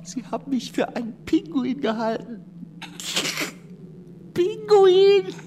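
A man's voice: extremely high pitch, very animated, slow, dark tone, slight background noise, heavily acted. A man playing a tiny, odd, secretive and fussy male creature in a children's radio play, with quirky clipped speech. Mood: officious, secretive.

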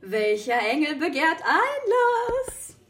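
A woman's voice: high-pitched, sing-song, full character voice. A woman playing a theatrical, affectionate mother in a radio play, drawing out her words. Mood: playful. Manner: theatrical, drawn-out delivery.

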